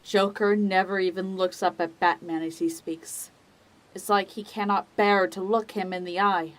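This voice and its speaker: A woman giving scripted dramatic narration as the narrator of a story, in a measured, deliberate manner. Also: medium pitch, steady tone.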